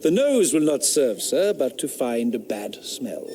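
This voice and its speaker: An actor playing a sardonic, rationalist eighteenth-century philosopher in a radio drama, speaking in a declamatory, scornful manner. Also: male voice, medium pitch, big pitch swings, measured pace, dark tone, slight background noise. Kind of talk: period radio drama acting.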